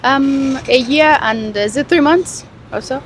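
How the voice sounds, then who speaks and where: A woman's voice: high voice, measured pace, a little hiss or hum. A woman from Nigeria in her thirties, a guest chatting in an interview, laughing often and trailing off mid-sentence. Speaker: guest, family vlogger. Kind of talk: casual interview chat.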